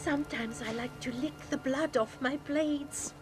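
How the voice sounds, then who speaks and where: A woman's voice: sits high, a little slow, over music. An actress playing a menacing, bloodthirsty female villain in a fantasy video game, gleefully sinister. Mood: gloating menace.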